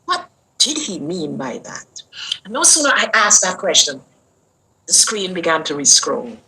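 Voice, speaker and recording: An older woman from Guyana, an interview guest telling a personal story in an earnest, unhurried way that lingers on words. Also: on the low side, very animated, bright, forceful tone, low-quality recording.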